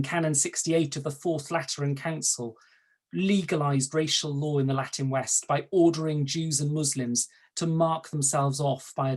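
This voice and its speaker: A Welsh-accented man, a native Welsh speaker serving as an archdeacon, gives a measured, formal talk over a video call, setting out his points in order. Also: on the high side, slightly rough. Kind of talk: prepared talk at an online webinar.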